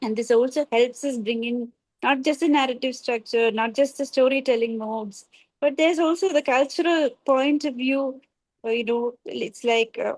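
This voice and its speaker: A woman speaks on a literary panel at a book launch. Her voice sits fairly high, and the sound is close and dry. She talks hesitantly, with frequent fillers and restarts. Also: dry recording, rough recording. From Kerala, India. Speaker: editor and poet at a book launch. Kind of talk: panel discussion.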